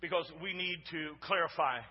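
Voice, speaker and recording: A male pastor with a big booming voice and a high-pitched, husky edge, preaching in a church sanctuary. He drops to hushed asides, then builds to shouts while prompting the congregation. The sound is dull and muffled, with long reverb trailing behind him. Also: steady tone, normal speed. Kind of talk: sermon.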